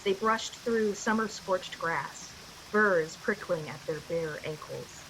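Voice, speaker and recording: A woman's voice: lowish voice, takes it slowly, a bit bright, slight background noise, low-quality recording. A woman playing the solemn storyteller of an eerie radio play, narrating a scripted tale. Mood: eerie, descriptive.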